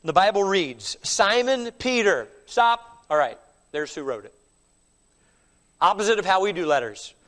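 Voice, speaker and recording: Middle-aged pastor, high voice, stuffy with a cold, emphatic sermon delivery. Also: male voice, big pitch swings, measured pace, a bit bright. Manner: emphatic preaching with frequent "all right?" asides.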